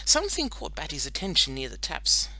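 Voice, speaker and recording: A woman narrating a children's audiobook in an expressive, scripted storytelling style. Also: sits low, brisk.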